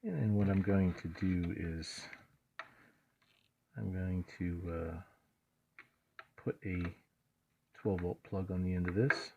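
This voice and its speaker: A man's voice: deep voice, slow, long pauses. A man giving a casual hands-on installation walkthrough, muttering through it unscripted in short phrases, in a dull, muffled recording. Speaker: host of a truck build vlog. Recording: dull muffled sound with background noise.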